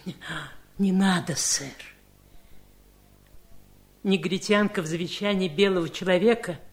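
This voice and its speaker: An actress playing a humble, loyal maid in a radio play, speaking deferentially and hesitantly. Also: female voice, lowish voice, measured pace. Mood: humble, worried. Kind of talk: radio play acting in Russian.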